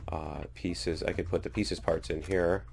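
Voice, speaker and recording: A man with a very low voice, a bit under the weather with a cold, talks his way through a video game in a relaxed, chatty way. He pokes fun at himself as he plays. Steady hiss and game noise sit behind his voice. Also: measured pace, gravelly. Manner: chatty, self-deprecating, laughs at own mistakes. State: has a cold. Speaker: gaming YouTuber. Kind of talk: casual let's-play commentary.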